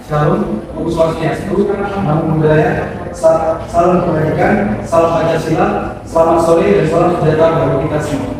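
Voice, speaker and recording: A man's voice: fairly high pitch, steady tone, moderate pace, recorded from a distance. A young man from Medan, Indonesia, a student group leader giving a formal ceremonial greeting and introducing his class presentation. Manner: formal, reciting set greetings one after another. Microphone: recorded from across the room. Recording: echoey room recorded from afar, noisy.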